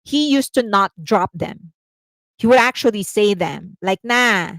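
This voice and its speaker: A chatty Filipino woman with a Filipino accent, hosting a casual commentary stream. She explains at length, reads viewer comments aloud and laughs often. Her voice sits mid-pitch and sounds dry and close to the mic. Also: animated, unhurried, a bit bright, no echo. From Philippines. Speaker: host.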